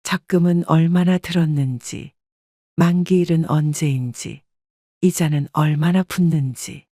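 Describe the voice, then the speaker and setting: A woman narrating a first-person life story in a calm, measured, reflective way. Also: low voice, no echo, studio quality.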